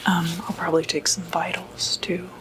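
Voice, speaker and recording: A woman playing a calm, quietly puzzled doctor in an ASMR medical roleplay, whispering breathily and hesitantly. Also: on the low side, background noise, close to an everyday voice. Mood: calm, businesslike. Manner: hesitant, halting, murmured asides. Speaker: ASMR performer.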